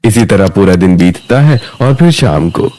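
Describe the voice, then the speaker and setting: Mellow-voiced male story narrator. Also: low-pitched, expressive, normal speed. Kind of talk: scripted story narration in present tense.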